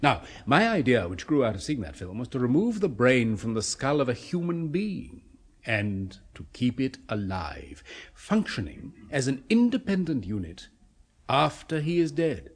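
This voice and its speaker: An actor playing a blunt, obsessive neurosurgeon, brisk and persuasive, in a 1970s radio drama. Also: male voice, medium pitch, sing-song, slightly rough. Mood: intense, persuasive.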